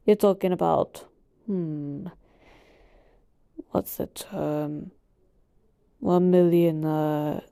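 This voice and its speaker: Slow, mellow ancient genie acted by a woman. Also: lowish voice, dry recording, clean studio sound. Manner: casual, teasing, conversational asides. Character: ancient all-powerful genie, wry, chatty, servant to a master. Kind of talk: in-character roleplay monologue to the listener.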